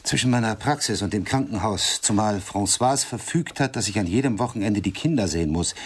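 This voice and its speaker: A man's voice: fairly low pitch, expressive, brisk, a little hiss or hum, natural voice. An actor playing a cultured, self-satisfied middle-aged man in a German radio drama, speaking in a pompous, emphatic, condescending way. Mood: brisk, superior.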